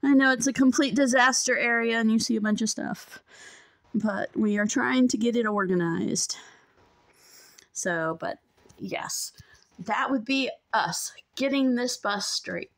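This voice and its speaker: A middle-aged woman gives a casual, chatty update to camera, pausing often as she goes. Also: a little high, creaky. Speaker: vlogger. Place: inside an empty converted school bus. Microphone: phone at arm's length.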